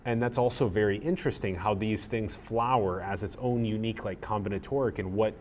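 A man in his thirties hosting an interview, talking in a rambling, animated way with lots of "like", on a lapel mic in a small room. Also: lowish voice, a little fast, slight background noise. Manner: rambling, animated, uses "like" a lot. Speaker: podcast host. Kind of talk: casual interview chat. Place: small plain room indoors.

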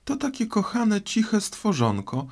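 A man voicing a polite, eager little girl in a storybook, chattering earnestly and quick to apologise. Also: high voice, wildly swooping pitch, voice pushed up, exaggerated voice. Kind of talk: storybook character voice.

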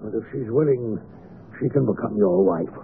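Actor as a creaky, possessive brother in radio drama. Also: male voice, fairly low pitch, normal speed, close to an everyday voice. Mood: formal, relieved. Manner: formal, stiff, emphatic. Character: possessive, volatile brother, formal English gentleman. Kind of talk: 1970s radio mystery acting. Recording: tinny, noisy old radio broadcast.

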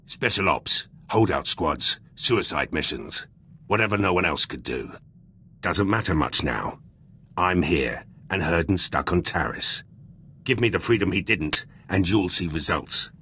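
An actor playing a blunt, battle-hungry special forces soldier in a video game, gruff and husky, speaking in clipped, terse sentences. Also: male voice, deep voice, lively intonation, measured pace, sharp tone, heavily acted. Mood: gruff, confident. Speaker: soldier companion character. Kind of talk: video game dialogue acting. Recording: music under the voice.

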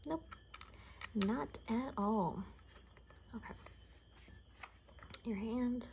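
A young woman in a whispered ASMR roleplay, rambling casually in a soft, breathy, chatty way. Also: on the high side, drawn-out words, slight background noise. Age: young adult. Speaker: ASMR creator playing an attendant. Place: small bedroom indoors.

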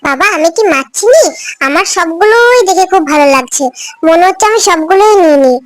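A woman's voice: very high-pitched, lively intonation, a little fast, dry recording, clean studio sound. A woman voicing a sweet, kind-hearted little village girl in a children's cartoon, childlike and earnest. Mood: eager. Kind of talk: Bengali children's cartoon story dialogue.

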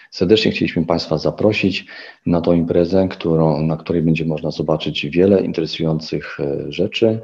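A man's voice: rumbling low, warm tone, lo-fi sound. A middle-aged man giving a formal welcome address as an association president, with occasional slips and restarts.

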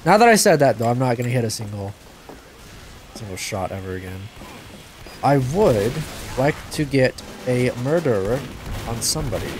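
Excitable young man narrating his gameplay. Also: mid-range voice, normal speed, background noise. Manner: excitable, yelps and laughs at the action. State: a bit stuffed up from crying. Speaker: gaming channel host. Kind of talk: live gameplay commentary.

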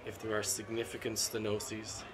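A middle-aged man, a cardiologist being interviewed at a conference, talks in a measured, analytical way with frequent ums and restarts. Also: on the low side, monotone, noisy background. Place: seated at a conference booth indoors. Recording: constant conference hall ambience.

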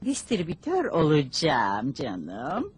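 A woman playing a chirpy, evasive middle-aged mother in a dubbed cartoon, affectionate and sing-song, with a husky voice. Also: low voice, huge pitch swings, slow, full character voice. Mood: cheerful.

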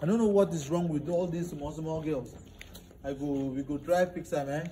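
A man's voice: very high voice, big pitch swings, moderate pace, darkish tone. A man playing a stern older brother who scolds his sister, then turns apologetic. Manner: scolding, mixes Nigerian Pidgin and English. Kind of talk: comedy skit acting. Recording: echoey room, distant mic, music.

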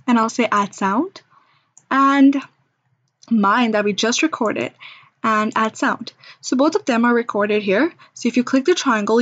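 A woman narrating an informal screen-recorded software demo in a chatty, reassuring, step-by-step way. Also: on the high side, no room sound, lo-fi sound. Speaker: software demonstrator.

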